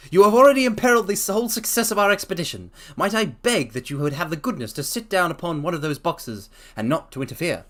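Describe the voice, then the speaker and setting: A man voicing a sharp, commanding Victorian detective, lifting his voice higher and louder than his own, with a stern, crisp delivery. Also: animated, fast talker, hardly any pauses.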